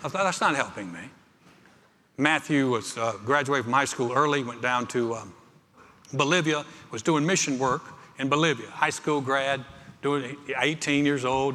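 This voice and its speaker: A man's voice: medium pitch, sharp tone. A middle-aged man preaching a sermon with personal anecdotes, conversational yet emphatic, repeating phrases for effect. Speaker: pastor. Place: large church auditorium. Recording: reverberant hall, amplified preaching.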